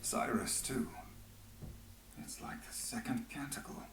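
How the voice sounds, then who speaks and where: An actor playing a sombre, awed ally in a fantasy game, breathy and half-whispered. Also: male voice, mid-range voice, measured pace, reverberant, close to an everyday voice. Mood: hushed, wistful. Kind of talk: dramatic fantasy game voice acting.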